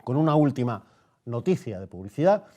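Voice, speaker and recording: A man's voice: medium pitch, very animated, a little fast, dark tone. A man presenting on radio in a formal announcer manner. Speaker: radio host. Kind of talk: radio news bulletin and interview presenting.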